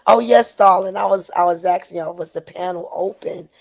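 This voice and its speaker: A woman's voice: on the low side, moderate pace, gravelly, darkish tone. A woman from New York City calling into a panel for a casual chat, speaking familiarly with terms of endearment. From Harlem, New York City. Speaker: panel guest caller.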